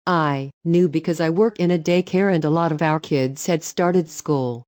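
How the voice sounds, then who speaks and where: Female narrator for read-aloud stories: mellow tone, close and dry, matter-of-fact. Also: deep voice, moderate pace, no echo. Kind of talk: reading online stories aloud.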